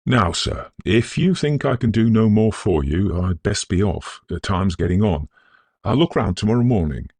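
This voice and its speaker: A man's voice: fairly low pitch, animated, moderate pace, darkish tone, husky, no room sound, voice pushed up. A man voicing a humble, kindly working-class night watchman in an audiobook, anxious and deferential. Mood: quiet, kindly. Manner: deferential, concerned.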